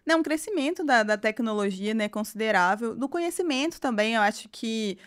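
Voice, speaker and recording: A woman's voice: fairly high pitch, expressive, a little fast, clear, resonant tone. A woman, a guest in a podcast interview, explaining at length and tagging nearly every phrase with a filler. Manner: rambling, frequent "né" tags. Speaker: guest engineer.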